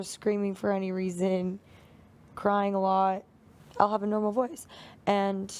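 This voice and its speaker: A young woman with a raspy voice, chatting casually in a teasing way. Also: medium pitch, dark tone. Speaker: reality show contestant. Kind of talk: casual late-night banter.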